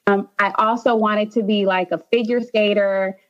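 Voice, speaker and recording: A woman's voice: medium pitch, fairly even intonation, moderate pace, dull audio. A young woman from Haiti, a nurse practitioner, chatting as a podcast guest with many "um"s and "like"s. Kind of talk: casual interview.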